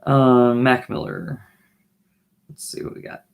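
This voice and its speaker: Hoarse man chatting on a live stream. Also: medium pitch, steady tone, slow pace. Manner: musing, trailing off with restarts while playing. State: losing his voice, throat clearing. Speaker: livestream host and bassist. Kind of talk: casual live stream chat. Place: small home music room, indoors. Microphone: webcam mic at desk distance, wears headphones.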